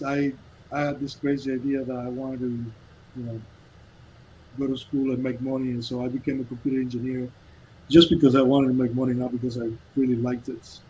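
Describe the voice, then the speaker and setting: A man from Cuba in his fifties, a guest telling his life story in an earnest, halting way with many restarts. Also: medium pitch, dull audio, a little hiss or hum. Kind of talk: casual storytelling interview.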